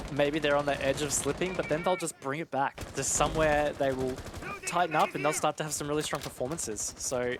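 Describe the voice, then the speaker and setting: A man's voice: a little high, background noise. A man giving live analytical commentary on an esports match in a conversational, explanatory way.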